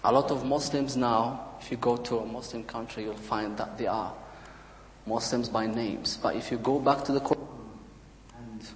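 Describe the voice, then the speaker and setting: A man from Morocco with a Moroccan accent, giving a prepared personal testimony with halting, deliberate phrasing. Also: mid-range voice, fairly even intonation, a little hiss or hum. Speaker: church member giving testimony. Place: large church room, speaking to a congregation. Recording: reverberant hall.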